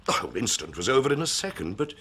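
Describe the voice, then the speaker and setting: An actor playing a haughty, touchy aristocrat with an upper-class English accent, pompous and clipped, in a period drama. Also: male voice, medium pitch, very animated, rapid-fire, fairly bright tone, breathy edge. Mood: reserved, uneasy. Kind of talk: period detective drama acting.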